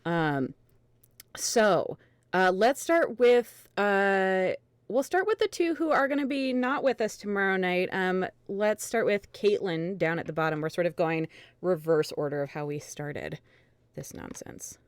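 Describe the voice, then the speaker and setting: A woman's voice: medium pitch, lively intonation, measured pace, smooth, no room sound. A woman hosting a casual livestream chat with friends, speaking in an effusive, gushing way. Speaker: host of a live group reading stream.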